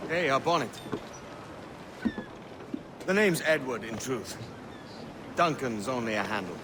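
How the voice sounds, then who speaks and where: A man's voice: mid-range voice, lively intonation, a little slow, background noise, lightly acted. An actor playing a brash, blunt young male pirate in a dramatic video game. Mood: cocky, easygoing. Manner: cocky, blunt, rough-edged.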